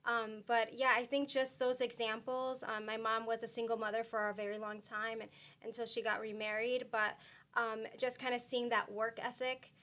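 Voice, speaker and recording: A woman from Puerto Rico talking as a guest in a casual interview, soft-spoken with many fillers and restarts. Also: on the high side, flat delivery, normal speed.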